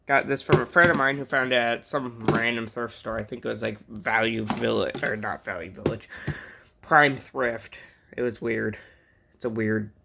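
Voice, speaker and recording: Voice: mid-range voice, creaky, very noisy. A vlogger with an American accent chatting casually and unscripted in a bedroom, jokey, rambling and easily sidetracked while showing off a collection. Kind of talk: casual unscripted vlog chat. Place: indoors in a bedroom.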